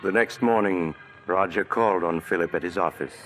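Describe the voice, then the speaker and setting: A man giving measured, ominous scripted narration for a 1940s radio drama. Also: sits low. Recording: old radio broadcast with music.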